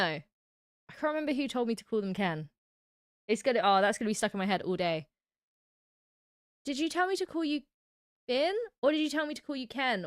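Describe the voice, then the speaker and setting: A British woman chats on her livestream, giggling often and reading comments aloud. Her fairly high voice bounces up and down, and the sound is close and dry. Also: no room sound. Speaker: livestream host. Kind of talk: casual chat reacting to live comments.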